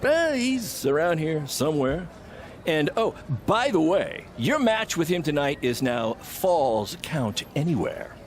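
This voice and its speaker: High-pitched, swooping wrestling promoter. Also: male voice, sing-song, slow. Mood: breezy, upbeat. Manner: salesman-like, self-satisfied, theatrical. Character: brash, scheming indie wrestling promoter, relentlessly upbeat hype man. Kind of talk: video game cutscene acting.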